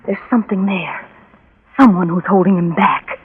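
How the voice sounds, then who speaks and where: A woman's voice: medium pitch, steady tone, moderate pace, narrow, tinny audio, a little hiss or hum, close to an everyday voice. An actress playing a desperate, obsessive, lovesick young woman in a 1940s radio drama, with a faltering, breathless, melodramatic delivery. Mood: obsessive resolve. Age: young adult. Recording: vintage 1940s radio recording.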